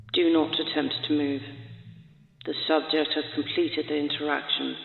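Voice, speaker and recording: Woman as a loud, cold facility guard. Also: low-pitched, gravelly, roomy sound, in a large hall, narrow, tinny audio. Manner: clipped, formal, commanding. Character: cold authoritarian facility guard announcing orders to prisoners. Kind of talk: dark fantasy audio drama.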